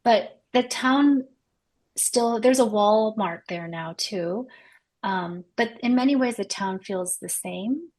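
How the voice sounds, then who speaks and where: A woman's voice: mid-range voice, slow, a little mellow. A middle-aged woman from Wisconsin with an American accent, telling personal stories casually as a podcast guest. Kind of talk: casual conversation, personal storytelling.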